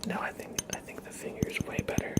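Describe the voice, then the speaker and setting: An ASMR livestream host whispering casually to viewers in a soft-spoken, unhurried way.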